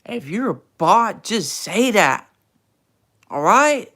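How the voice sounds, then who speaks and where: A man's voice: high voice, big pitch swings, takes it slowly, sharp tone. A young man from Texas, hosting a casual livestream and answering chat in a laid-back, slangy, teasing way. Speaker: livestream host reading chat.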